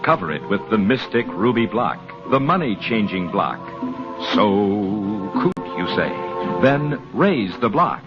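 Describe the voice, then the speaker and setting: Male radio pitchman, showy and swooping delivery, old-time commercial, dull vintage recording. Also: big pitch swings, slow pace, music in the background. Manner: showy, salesman-like patter. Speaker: radio announcer.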